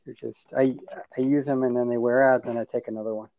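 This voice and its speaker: A man raised with Yiddish and Hebrew, chatting casually in a plain, repetitive, low-key way. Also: flat delivery, fast talker, warm tone, no echo, lo-fi sound. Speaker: podcast co-host. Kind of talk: casual chat.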